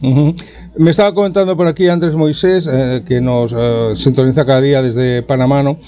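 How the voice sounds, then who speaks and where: A man's voice: medium pitch, steady tone, fairly quick, dark tone. A man from Spain with a Spanish accent, hosting a sports talk radio show with many hesitations and restarts. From Catalonia, Spain.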